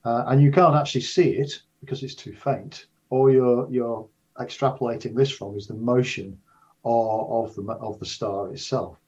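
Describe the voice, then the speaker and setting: An older man with a husky, slightly rough voice answers as an expert interview guest. He explains things carefully and restarts phrases as he goes. The audio is somewhat dull and muffled. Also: mid-range voice, fairly even intonation, moderate pace, a little mellow. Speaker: interview guest, astrophysics professor.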